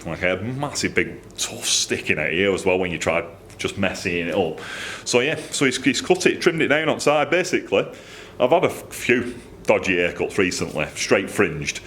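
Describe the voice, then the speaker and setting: A man's voice: sits low, big pitch swings, moderate pace, a bit bright, slight background noise. A middle-aged man casually chatting in his workshop, rambling and enthusiastic as he shows off the exhausts he makes. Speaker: motorcycle exhaust maker. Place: indoor workshop.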